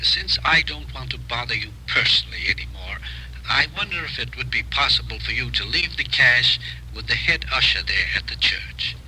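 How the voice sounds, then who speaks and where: An actor playing a courteous, soft-spoken male con man in a 1950s radio drama. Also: mid-range voice, expressive, normal speed, a little hiss or hum, rough recording. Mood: smooth. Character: smooth-talking male con man, polite, false. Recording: old radio broadcast recording.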